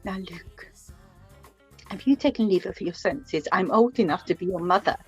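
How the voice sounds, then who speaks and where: An actress playing a flustered, kindly middle-aged mother in a radio play, hesitant and gently chiding. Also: fairly low pitch, normal speed, husky, soft background music.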